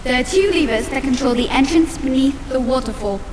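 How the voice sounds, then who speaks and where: An actress playing a brisk, secretive sorceress in a video game, speaking with urgency. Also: female voice, high-pitched, in a large hall, background noise, lightly acted. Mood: urgent.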